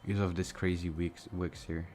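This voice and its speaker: Deep-voiced man, Romanian accent. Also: flat delivery, normal speed, a little mellow, a little hiss or hum. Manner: casual, thinking aloud, swears freely. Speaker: live-streaming trader. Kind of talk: casual live-stream commentary. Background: music and mouse clicks.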